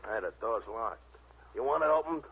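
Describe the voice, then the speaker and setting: Hulking simple-minded sidekick, very lively pitch, blunt slang, dull hissy vintage radio recording. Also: male voice, moderate pace, vocal fry. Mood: dim, eager. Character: big, dim, eager male tough-guy sidekick. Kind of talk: 1950s radio comedy-drama acting. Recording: muffled, noisy old radio recording.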